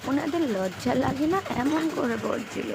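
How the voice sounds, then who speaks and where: Woman playing a jealous older mother-in-law, sly, high-pitched, gravelly, nagging, dramatic cartoon dub, very noisy recording. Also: expressive, normal speed. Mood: sly, needling. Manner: insinuating, grumbling, tale-bearing. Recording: very noisy background.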